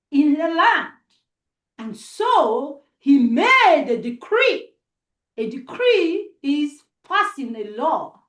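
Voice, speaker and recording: A woman with a Nigerian accent telling a story live to children, deliberate and expressive, with dramatic pauses and repetition, as host. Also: sits high. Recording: roomy, echoey sound.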